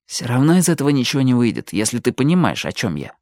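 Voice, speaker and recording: A woman's voice: a bass voice, very animated, fast, darkish tone, dry recording, clean studio sound. A woman voicing a sulky, jealous teenage boy in an audiobook, grumbling and sarcastic. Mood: irritated. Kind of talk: audiobook character voice.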